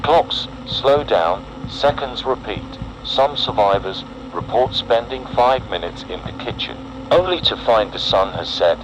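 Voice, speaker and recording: A man narrating a scripted horror emergency broadcast in a solemn, deliberate, ominous way. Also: mid-range voice, expressive, muffled recording, noisy background.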